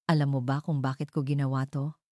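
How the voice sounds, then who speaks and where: A woman's voice: very deep voice, flat delivery, a little fast, warm tone, no room sound, clean studio sound. A woman voicing a cold, cruel, scornful man, a scheming fiancé in an audio drama, with a mocking, menacing manner. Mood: bitter, callous.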